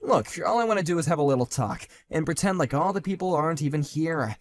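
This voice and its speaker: A man voicing a calm, sardonic grown man who talks in a level, dry and cutting way, in a one-man voiced drama. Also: on the high side, fast talker, no echo, lightly acted.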